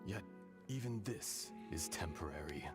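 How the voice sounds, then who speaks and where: Slow, low, solemn ancient dragon. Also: male voice, animated, loud music behind the voice. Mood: solemn, philosophical. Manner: slow, grave, poetic phrasing. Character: ancient blind dragon speaking through a young man, solemn, weary. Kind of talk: video game voice acting.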